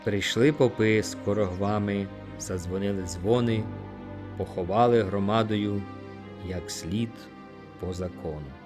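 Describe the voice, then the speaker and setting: Low-voiced man reciting poetry over music. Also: measured pace. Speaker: audiobook narrator. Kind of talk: expressive dramatic poetry recitation.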